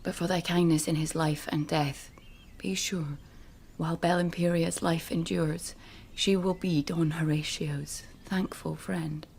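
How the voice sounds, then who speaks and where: A woman's voice: deep voice, steady tone, unhurried, vocal fry, hardly any pauses, darkish tone, slight background noise. An actress playing a proud, grieving young Spanish noblewoman in a classical verse radio drama. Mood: tender, grieving.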